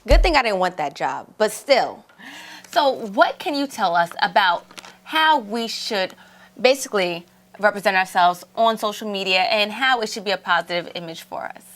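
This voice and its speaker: A woman's voice: medium pitch, lively intonation, normal speed, fairly bright tone, faint music behind. A woman co-hosting a talk show, chatting casually and telling a story of her own. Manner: chatty, storytelling, laughs easily. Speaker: co-host. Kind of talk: casual chat.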